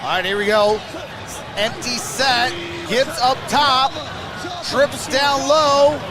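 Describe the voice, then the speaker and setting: A man's voice: very high-pitched, fairly even intonation, slow pace, music in the background, a crowd in the background. A man giving informal live fan play-by-play on a sports stream, reacting aloud and chatting with viewers. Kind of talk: live fan commentary and chat.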